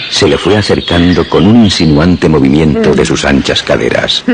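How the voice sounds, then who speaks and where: Male radio drama narrator, extremely deep pitch, expressive range, literary storytelling, music behind. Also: background noise.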